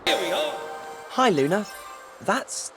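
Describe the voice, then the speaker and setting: A polite young wizard hero. His high, lively voice is earnest and unaffected, with loud video game music behind it. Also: extremely slow, heavily acted. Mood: awkward, polite. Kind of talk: video game cutscene acting.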